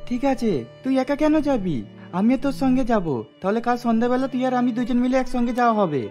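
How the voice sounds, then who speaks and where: A woman's voice: on the high side, fast, warm tone, soft background music, natural voice. A woman voicing plain-spoken village men in a cartoon, matter-of-fact and persuasive. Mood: concerned. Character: male villagers: father, friend, boss, plain-spoken.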